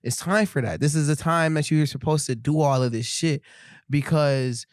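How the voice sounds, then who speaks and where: A man's voice: on the high side, moderate pace, no echo, studio quality. A man in his twenties co-hosting a podcast, chatting casually and easily, leaning on "bro" and "you know what I'm saying.". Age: 20s. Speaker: podcast co-host. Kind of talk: casual chat.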